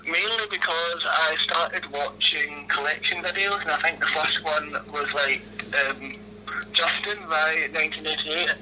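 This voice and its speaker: A young Glaswegian man in his twenties talks over the phone, his voice very bright and a little high for a man. He answers with lots of ums, false starts and small laughs. A faint hiss sits under the line. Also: rough recording. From Scotland. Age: 20s. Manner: hesitant, many ums and restarts, laughs often. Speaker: interviewee. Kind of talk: casual phone interview. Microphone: phone call.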